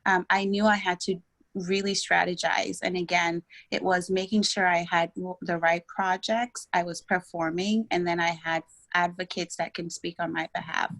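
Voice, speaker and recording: A woman from Ghana speaks on a panel about her working life. Her voice sits a little low and stays very level in pitch. She comes across as direct. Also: flat delivery, normal speed, creaky, fairly bright tone. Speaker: panelist, operations and strategy leader. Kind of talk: panel discussion, sharing career advice.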